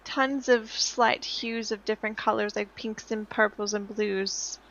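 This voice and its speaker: A woman's voice: mid-range voice, steady tone, a little slow, a bit bright, dull audio. A woman playing a tabletop role-playing game, chatting in character with the other players.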